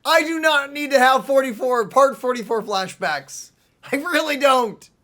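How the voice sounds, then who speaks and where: A woman co-hosting a let's play with casual, teasing, expressive banter and game music in the background. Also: sits high, unhurried. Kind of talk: casual gaming commentary and banter. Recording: faint game music behind.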